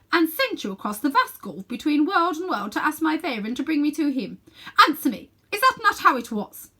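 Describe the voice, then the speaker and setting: A woman's voice: sits high, a bit bright, voice pushed up. A woman reading a storybook as an imperious, pompous evil witch queen who commands with grand contempt.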